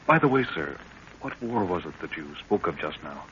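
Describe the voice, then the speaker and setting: An actor playing an earnest, anxious young expedition assistant, polite and questioning, in 1930s radio drama style. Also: male voice, sits low, sing-song, moderate pace, a bit bright. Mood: curious. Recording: dull, hissy 1930s radio recording.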